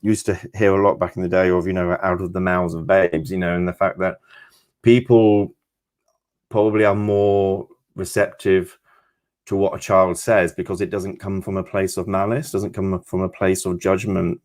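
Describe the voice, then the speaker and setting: A man who reads tarot, discussing the cards conversationally in a reflective, halting way. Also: deep voice, flat delivery, darkish tone. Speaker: tarot reader.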